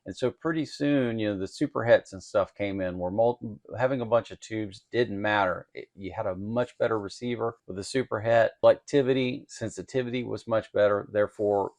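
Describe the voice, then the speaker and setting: A man's voice: lowish voice, fairly quick, few pauses, darkish tone. A man giving an informal technical explanation in a plainspoken, folksy, step-by-step way, like a hobby instructor at a workbench. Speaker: host of an electronics kit-building channel.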